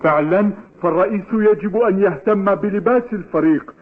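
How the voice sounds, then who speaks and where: An actor playing an eager, good-natured young football player in a cartoon dub. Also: male voice, high-pitched, fairly quick, close to an everyday voice. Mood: chatty. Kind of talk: Arabic cartoon dub acting. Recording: tinny, faintly noisy TV dub.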